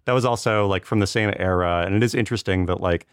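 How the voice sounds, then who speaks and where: A man with a deep voice chats as a podcast panelist. The sound is dry, with no room around it. He talks informally, circling and hedging his points with plenty of "like". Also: fast talker, smooth, no room sound. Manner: hedging, rambling, peppered with "like". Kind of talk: casual conversational discussion.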